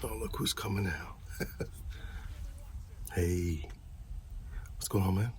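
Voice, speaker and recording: A man doing a casual ASMR roleplay as an easygoing, supportive friend, talking softly in a laid-back buddy way. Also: low voice, slow pace, a little hiss or hum. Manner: soft-spoken, half-whispered, laid-back buddy talk.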